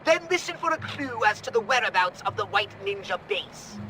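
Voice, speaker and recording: Imperious alien boss for a game: very high, piercingly sharp, prim, theatrical, perpetually exasperated. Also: male voice, very animated, fairly quick, slight background noise, full character voice. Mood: officious, urgent. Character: fussy, imperious alien commander giving orders. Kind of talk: video game cutscene acting.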